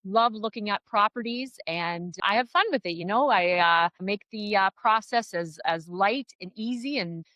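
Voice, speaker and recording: A middle-aged woman from Canada with a Canadian accent, an upbeat real estate agent chatting as a podcast guest, often pausing on "uh". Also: mid-range voice, sharp tone, no room sound, poor audio. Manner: upbeat, chatty, frequent "uh" fillers. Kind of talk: casual podcast interview.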